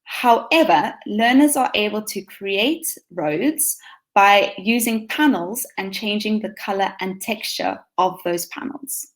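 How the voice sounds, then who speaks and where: A woman's voice: medium pitch, takes it slowly. A woman from South Africa with a South African accent, giving an eager, upbeat talk to fellow teachers over a video call, with frequent ums. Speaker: teacher presenting to educators. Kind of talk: conference presentation.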